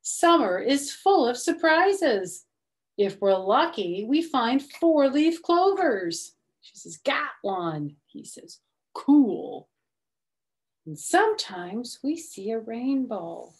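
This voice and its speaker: A middle-aged woman reads a children's picture book aloud slowly and expressively, adding chatty, simple explanations for young children. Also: fairly high pitch, big pitch swings. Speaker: storytime reader. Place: small room at home, indoors.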